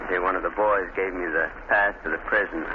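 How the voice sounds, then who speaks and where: An actor playing a naive, polite, folksy and uneasy tourist, speaking hesitantly in 1940s radio style. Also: male voice, deep voice, fairly even intonation, brisk, lightly acted. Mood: eager, hesitant. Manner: hesitant, stammering. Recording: tinny, noisy old radio recording.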